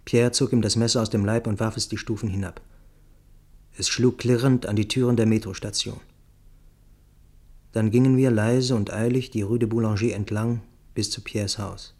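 Measured grave male witness. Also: fairly low pitch, steady tone, darkish tone. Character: grave male witness recounting a deadly night attack. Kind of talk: literary radio play narration, first person.

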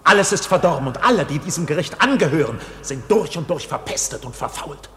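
A man's voice: fairly high pitch, animated, a little fast, fairly bright tone, slight background noise. An actor playing an accused man in a radio drama, defiant and anguished, with impassioned, theatrical delivery. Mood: bitter disgust. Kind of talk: dramatic radio play acting.

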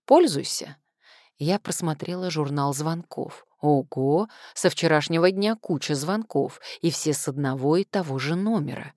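A woman's voice: low voice, moderate pace, few pauses, a little mellow, no echo, studio quality. A woman reading first-person fiction expressively as an audiobook narrator.

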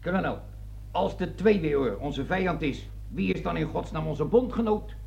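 An actor playing an obedient but bewildered army sergeant, deferential and hesitant, in a satirical radio play. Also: male voice, on the high side, expressive, moderate pace, creaky, a little mellow. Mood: bewildered, protesting. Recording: dull lo-fi old radio recording.

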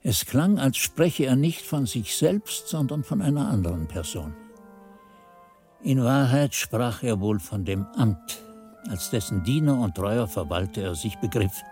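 An actor playing a monk who narrates as a devoted pupil in a radio play, in an earnest, literary, expressive manner. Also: male voice, medium pitch, a little slow, soft background music, lightly acted. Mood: reflective. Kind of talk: scripted radio drama narration.

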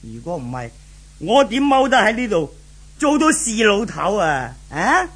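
A man's voice: sits high, very animated, measured pace, fairly bright tone, slightly rough, full character voice. A man acting in a 1970s Hong Kong Cantonese radio drama as a cruel, menacing brothel boss, with a sneering, theatrical delivery full of grunts and questioning barks. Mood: sneering menace.

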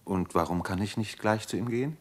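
Actor as husky, low-voiced, earnest doctor in love. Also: male voice, brisk, natural voice. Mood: tender, relieved. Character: earnest, lovestruck Russian male doctor, tormented and tender. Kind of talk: German radio play acting.

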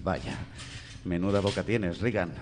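An actor playing a patient, tactful clinic doctor in a radio drama. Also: male voice, deep voice, a little slow, noisy background. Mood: patient, clinical.

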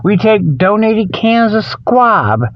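High voiced guy doing a rambly jokey radio show, folksy talk, kinda muffled dry sound. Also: sing-song, slow pace, no room sound. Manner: folksy, colloquial phrasing with frequent "okay" and "you know". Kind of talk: rambling comic radio monologue.

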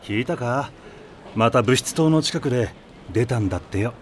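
A man playing a calm senior high school boy in an anime audio drama, with a measured, solemn way of talking in casual slang. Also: slight background noise, lightly acted. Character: calm, gentle-faced senior high school boy telling ghost rumours.

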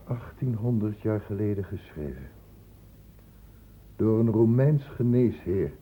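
An actor playing a stern, insistent doctor in a radio play, blunt and urgent, in a lecturing way. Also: male voice, lowish voice, lively intonation, measured pace, a little hiss or hum. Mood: grave, reciting. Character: stern, insistent male doctor questioning young people. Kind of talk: Dutch radio play acting. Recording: muffled old radio play recording.

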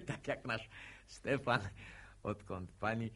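A man's voice: fairly low pitch, extremely slow, fairly bright tone, heavily acted. An actor playing a smirking, needling village man in a Polish radio drama, mock-gallant and mocking in manner. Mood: gloating, amused. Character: smirking, needling village man, ex-corporal, teasing a courting couple.

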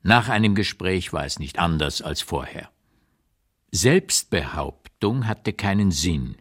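An actor playing a blunt 85-year-old writer looking back on his life, reading memoir notes in a measured, deliberate, emphatic way for a literary radio play. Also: deep voice, lively intonation, slightly rough, exaggerated voice. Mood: bleak, caustic.

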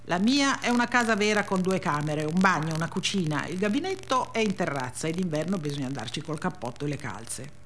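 An actress reading a memoir as a blunt, resentful female narrator, with deadpan, childlike run-on phrasing. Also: fairly low pitch, fairly quick, a little hiss or hum. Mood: wry, matter-of-fact. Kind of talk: dramatic reading of a first-person memoir.

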